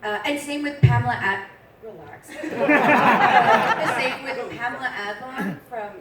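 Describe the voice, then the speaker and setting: Raspy woman, voice actress, casual and joking, echoey hall, distant. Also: on the high side, slow pace, a loud crowd, very noisy. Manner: casual, laughing, self-deprecating. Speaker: voice actress, convention guest. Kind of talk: panel Q&A answers. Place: large convention hall.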